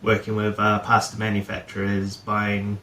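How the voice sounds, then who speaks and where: Low-voiced man in an echoey room. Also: monotone, normal speed, sharp tone. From New Zealand. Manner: rambling, many fillers and restarts. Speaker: guest, head of procurement. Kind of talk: casual interview chat.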